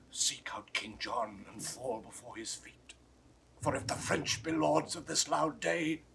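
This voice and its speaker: A breathy, dying nobleman, declaiming. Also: sits high, a bit bright, heavily acted. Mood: urgent warning. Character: mortally wounded male French count, remorseful and earnest. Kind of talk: 1960s classical Shakespeare audio drama acting. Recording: noisy vintage 1960s studio recording.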